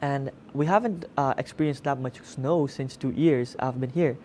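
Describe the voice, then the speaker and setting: Twenties male student guest from Tanzania, medium pitch, conversational, slight noise. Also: normal speed, a little mellow. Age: 20s. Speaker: interview guest, university student. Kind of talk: conversational interview answers. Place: student television studio.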